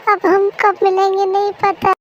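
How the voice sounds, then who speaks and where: A woman's voice: very high voice, monotone, normal speed, fairly bright tone, highs cut off, slight background noise. A woman voicing a sulky, dramatic young wife. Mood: melodramatic. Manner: whiny, melodramatic complaining. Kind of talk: amateur fan-dub voice acting.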